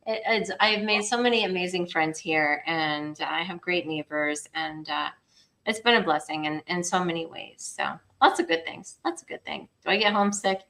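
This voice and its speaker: A woman's voice: fairly low pitch, bright, forceful tone. A woman from the Midwest chatting casually as a podcast guest, laughing often and repeating her phrases.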